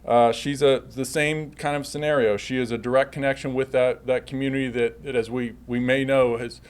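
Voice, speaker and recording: A man's voice: medium pitch, steady tone, fairly quick, clean tone. A man speaking as a police chief at a public briefing with slides, often repeating and correcting himself as he goes.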